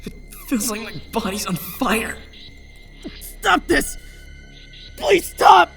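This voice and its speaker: A man's voice: extremely high pitch, very animated, slow, a bit bright, heavily acted. A man playing an earnest, protective teenage schoolboy in a video game dub, wary and hesitant. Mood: panicked. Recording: background music under the voice.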